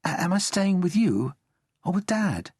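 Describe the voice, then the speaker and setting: Man playing an earnest, higher-voiced schoolboy. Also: sing-song, unhurried, darkish tone, slightly rough, no room sound, studio quality, exaggerated voice. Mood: puzzled. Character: earnest, puzzled young English schoolboy.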